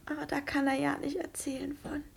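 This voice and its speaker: A woman's voice: high-pitched, flat delivery, fairly quick, gravelly. An actress playing a frail, sick young girl, trusting and pleading, in a 1950s radio play. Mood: curious, admiring. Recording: faintly noisy 1950s radio recording.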